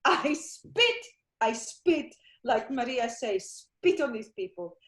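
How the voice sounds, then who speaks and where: A woman's voice: on the high side, a little slow, darkish tone, natural voice. An actress playing a grieving Italian mother. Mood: furious grief. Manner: broken English, pleading then raging. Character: grieving immigrant mother, older woman, desperate and fierce. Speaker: immigrant mother. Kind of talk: broadcast drama acting. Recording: roomy echoey recording.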